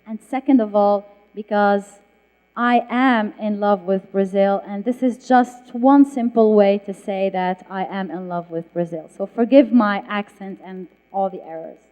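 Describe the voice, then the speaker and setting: A woman's voice: medium pitch, measured pace, warm tone. A woman, an author telling a story on a festival panel with emphatic restarts. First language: Arabic. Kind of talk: public panel talk with audience. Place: festival hall stage. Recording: dull, echoey hall sound.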